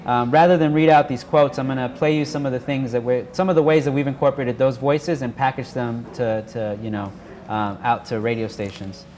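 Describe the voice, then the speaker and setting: A man's voice: mid-range voice, steady tone, a little fast, a little mellow. A man giving an informal workshop presentation as an activist speaker in a live room. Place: indoor workshop room with an audience. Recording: noisy live room recording.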